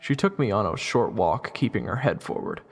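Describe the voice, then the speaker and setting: Man acting as hapless young fantasy hero, lowish creaky voice, very animated, wry, audio drama. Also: normal speed, soft background music. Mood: wry narration. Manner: wry, casual, awkward and hesitant. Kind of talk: audio roleplay acting with first-person narration.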